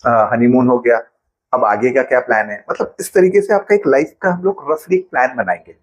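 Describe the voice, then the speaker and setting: Male guest, husky tone, calm teacherly explainer. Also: mid-range voice, normal speed. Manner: patient, explains with everyday examples. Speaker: financial planner guest. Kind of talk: explanatory interview talk.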